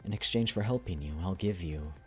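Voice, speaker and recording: A man voicing a coy, teasing game character in a slow, hushed, suggestive manner. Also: deep voice, faint music behind, close to an everyday voice. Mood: coy, woozy.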